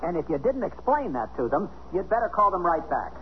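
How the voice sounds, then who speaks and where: An actor playing a bewildered, wry but soft-hearted American husband in a 1970s radio drama. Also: male voice, sits high, sing-song, a little fast. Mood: agitated. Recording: muffled, hissy 1970s radio drama recording.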